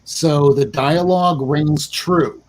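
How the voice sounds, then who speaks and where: A man's voice: on the high side, steady tone, slow, rough recording. Forty-year-old man rambling about comics. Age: 40s. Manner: enthusiastic, rambling, mild swearing. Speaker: podcast co-host. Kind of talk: casual comic book chat.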